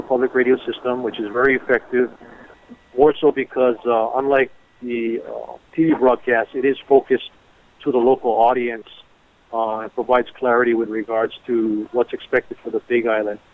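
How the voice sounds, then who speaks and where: A man gives an official briefing in a deliberate, explanatory way. His pitch is mid-range and stays quite flat. The sound is thin and narrow, as heard on a conference phone line. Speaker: emergency management official. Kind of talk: press briefing on a conference call. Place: conference call. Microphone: telephone.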